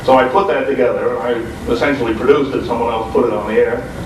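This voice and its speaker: A man's voice: mid-range voice, fairly even intonation, fast talker. Man in a very echoey room. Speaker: radio show host and producer. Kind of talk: conversational panel anecdote. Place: convention room before an audience. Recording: roomy, distant, noisy informal video recording.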